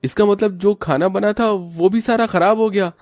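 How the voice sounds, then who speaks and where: A man's voice: high-pitched, fairly even intonation, normal speed, darkish tone, no echo, faint music behind, voice pushed up, lightly acted. A man voicing a worried, downhearted roadside eatery owner in a cartoon, with a plaintive, exclamatory delivery. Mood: dismayed. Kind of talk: Hindi moral-story cartoon dialogue.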